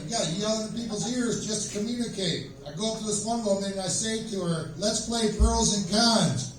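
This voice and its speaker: Echoey amateur comedian, halting man. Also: sits high, slight background noise. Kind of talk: stand-up comedy set. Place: small live comedy venue, stage. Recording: echoey room, distant microphone.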